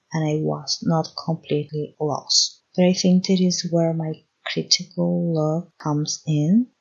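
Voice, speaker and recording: A young woman hosts her own podcast in a slow, unhurried way. Her voice sits low and a little husky, and she often pauses to search for the right English words. The recording sounds dull and lo-fi. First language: Spanish. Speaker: podcast host. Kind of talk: solo podcast monologue.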